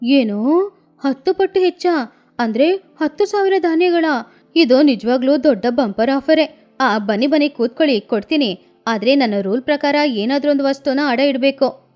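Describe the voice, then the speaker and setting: A woman's voice: high voice, moderate pace, darkish tone, soft background music, close to an everyday voice. Woman voicing a greedy, bossy female crow moneylender. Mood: eager, greedy. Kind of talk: children's cartoon story.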